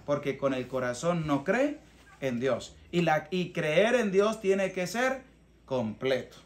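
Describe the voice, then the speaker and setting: A man's voice: high-pitched, a bit bright. A man in his thirties with a Venezuelan accent, a priest giving a plain, colloquial homily in an emphatic, teaching manner with rhetorical questions. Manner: emphatic, didactic, asks questions and answers them himself. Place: small rustic wooden room or shelter. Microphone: clip-on lapel mic.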